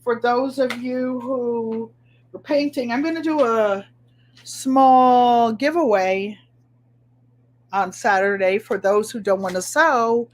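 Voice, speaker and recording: A middle-aged woman with a New England accent and a nasal voice, hosting a casual live craft stream and chatting to viewers as she shows her work. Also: on the high side. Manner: chatty, unhurried, talks to viewers by name. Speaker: craft livestream host.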